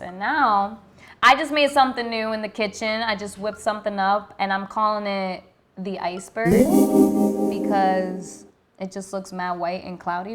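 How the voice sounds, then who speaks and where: A woman's voice: medium pitch, fairly bright tone. A woman hosting a podcast, chatting in an upbeat, easygoing way and laughing easily. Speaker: podcast host. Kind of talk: casual chat.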